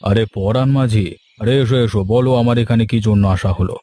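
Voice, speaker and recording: Man playing a mellow, low-voiced stern village headman. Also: normal speed, lightly acted. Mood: welcoming. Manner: theatrical, declarative. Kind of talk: Bengali cartoon voice acting.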